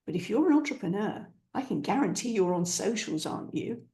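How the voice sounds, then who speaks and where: A woman's voice: medium pitch, animated, fairly quick, warm tone. A woman hosting a podcast, chatty and encouraging, with playful asides to listeners. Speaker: podcast host. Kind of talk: upbeat podcast intro.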